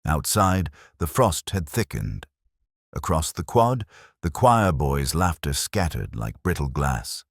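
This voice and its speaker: A man reads a scripted first-person detective story in a measured, literary way with deliberate pauses. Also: extremely low pitch, sing-song, gravelly, dry recording, clean studio sound. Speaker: narrator.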